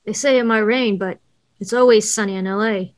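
A chatty, caring woman cab driver of about fifty, read by a woman at an online table read. Her pitch is medium and the sound is dry. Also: fairly even intonation, a little fast, no echo, close to an everyday voice. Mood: casual. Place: indoor room, video call.

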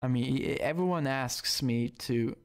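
Flustered male game streamer, unhurried and halting, casual live chat. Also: mid-range voice. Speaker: streamer and indie game developer. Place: indoor room at home.

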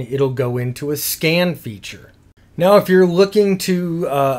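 A man in his fifties giving a casual, plain-spoken product review in an easygoing explaining way. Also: a little high. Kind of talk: casual scripted review narration.

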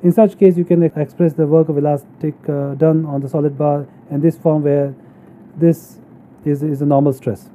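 A man giving a halting, step-by-step technical lecture as an engineering teacher, explaining material from slides. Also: a little high, flat delivery, mellow, slight background noise. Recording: narrow phone-like sound.

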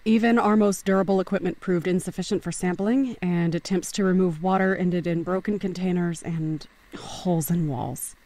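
Creaky-voiced, clinical research officer. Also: female voice, fairly low pitch, steady tone, moderate pace, hardly any pauses, mellow. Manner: clinical, formal. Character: businesslike female linguistics officer logging a report. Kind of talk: scripted log in audio drama.

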